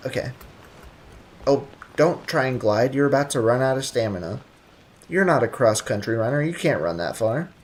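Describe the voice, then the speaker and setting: A woman's voice: a bass voice, animated, moderate pace, a little mellow, slight background noise. A woman doing casual, slangy, sarcastic commentary as she streams a video game. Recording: faint game music behind.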